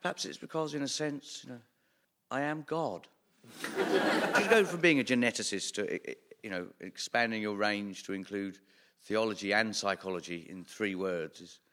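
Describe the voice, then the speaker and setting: A man playing a self-styled evil genius who now rules the world, deadpan and rambling, with stammered restarts and chuckles, before a live theatre audience. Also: on the low side, normal speed, a little hiss or hum, lightly acted. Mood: deadpan, smug. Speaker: comedian playing a new supreme commander of the world. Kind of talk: improvised comic interview in character.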